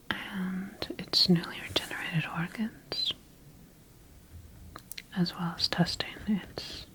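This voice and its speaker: A woman playing a clinical sci-fi scientist in a whispered ASMR roleplay, dictating observations in a measured, breathy voice. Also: lowish voice, poor audio. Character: clinical female sci-fi scientist studying her engineered creature.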